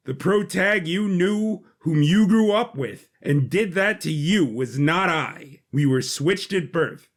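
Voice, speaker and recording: Man playing a calm, courteous robot hero, unhurried. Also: fairly high pitch. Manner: courteous, composed, formal. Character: calm, polite male heroic robot brother. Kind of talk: scripted audio drama acting.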